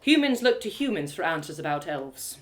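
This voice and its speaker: Woman acting as a young elf warrior, female, proud, spirited, emphatic lecturing, widely swinging pitch, fantasy audio drama. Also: sing-song, moderate pace, heavy background noise. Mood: teasing, indignant.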